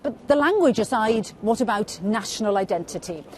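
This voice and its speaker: Measured woman news reporter. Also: mid-range voice, animated, a little mellow, a little hiss or hum. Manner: measured, clear delivery.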